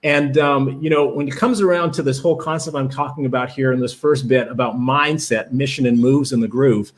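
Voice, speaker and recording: A man's voice: medium pitch, fairly even intonation, fairly quick. Upbeat Canadian-accented man on a live stream. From Jamaica, raised in Canada. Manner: enthusiastic, rambling with many fillers. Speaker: livestream presenter. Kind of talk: live webinar talk reading chat.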